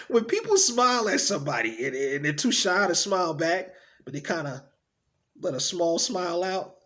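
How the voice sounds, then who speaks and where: A man performing a theatrical monologue as a tender, playful, earnest father-to-be speaking intimately and conversationally to his unborn child, moving between hushed and exuberant delivery. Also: high-pitched, big pitch swings, moderate pace. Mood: amused, excited.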